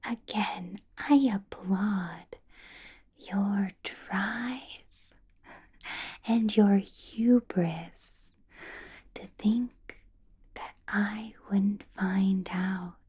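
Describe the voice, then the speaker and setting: A woman playing a centuries-old vampire boss in a whispered ASMR roleplay, teasing and menacing, with deliberate pauses and a falsely pleasant manner. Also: medium pitch, mellow, exaggerated voice. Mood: softly menacing. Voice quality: breathy whisper.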